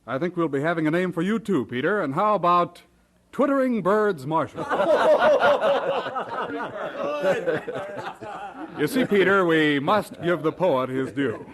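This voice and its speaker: A man's voice: high voice, lively intonation, slow, pauses often, a bit bright, full character voice. An actor playing a jovial, teasing clergyman in an audio drama, chatty and genial in manner. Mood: amused, teasing.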